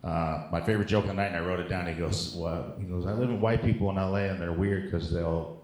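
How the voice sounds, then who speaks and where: A man's voice: low voice, fairly even intonation, fast talker, darkish tone, roomy sound. A man in casual comic chat, telling stories in a rambling, joking, profane way. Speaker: comedian guest on a podcast.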